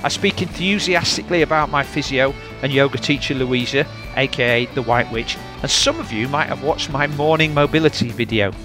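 A man's voice: medium pitch, brisk, hardly any pauses, music in the background. Yorkshire man hosting a podcast. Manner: friendly, chatty, with fillers. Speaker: podcast host. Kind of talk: podcast interview.

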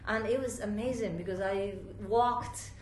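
A woman's voice: mid-range voice, unhurried, darkish tone. Japanese woman chatting in an echoey room. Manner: informal, frequent "like" and "you know". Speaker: podcast guest, fashion designer. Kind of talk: conversational interview, personal storytelling. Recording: roomy echo, clear background noise.

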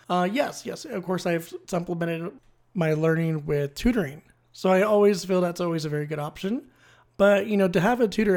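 Creaky-voiced casual solo host. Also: mid-range voice, darkish tone. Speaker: host of a language-learning series. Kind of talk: casual solo explainer talk.

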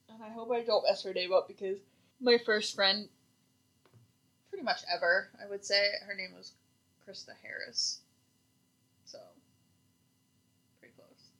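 A woman's voice: mid-range voice, sharp tone, poor audio. A woman co-hosting a podcast in casual chat, trading dry, teasing quips. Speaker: podcast co-host.

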